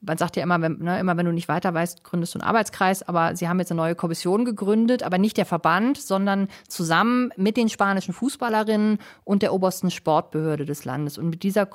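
A woman's voice: fairly low pitch, fast talker, hardly any pauses. A woman working as a foreign correspondent, telling things in a chatty, colloquial interview style full of acted-out asides. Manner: chatty, colloquial, animated storytelling with quoted asides. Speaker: correspondent in Spain. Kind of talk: casual conversational interview report.

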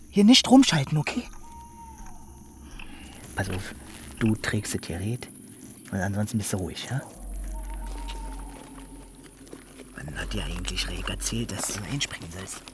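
A man's voice: fairly low pitch, very animated, moderate pace, pauses often. An actor playing a curt, bossy man who searches for water leaks, in a naturalistic radio play. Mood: curt, instructing. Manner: curt, ordering, sure of himself. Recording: clear outdoor noise behind the voice.

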